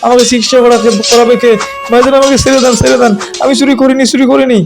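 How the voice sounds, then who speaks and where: A man's voice: very high voice, level tone, fast talker, creaky, soft background music. A man with a Bangladeshi accent voicing a frightened, simple-minded orphan man who pleads and whimpers in a comedy cartoon. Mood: desperate begging. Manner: pleading, whimpering, repeatedly addressing elders respectfully.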